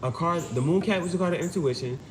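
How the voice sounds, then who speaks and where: A man's voice: fairly high pitch, fast. A man giving an informal spiritual reading, urging advice in an insistent, repetitive, emphatic way. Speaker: amateur tarot reader and streamer. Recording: clearly noisy background ambience.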